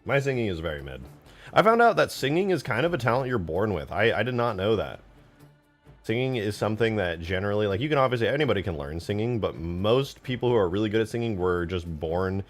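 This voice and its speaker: Deep-voiced guy streaming casually. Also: big pitch swings, fast talker. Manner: informal slang, conversational, self-deprecating. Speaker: streamer, game modder. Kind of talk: casual livestream chat, answering viewers. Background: background music.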